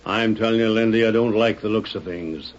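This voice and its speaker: An actor playing a worried, suspicious ranch boss with folksy cowboy speech in an old-time radio Western. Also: male voice, on the low side, fairly quick, natural voice. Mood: uneasy. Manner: folksy cowboy drawl with rustic grammar. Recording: muffled vintage radio recording.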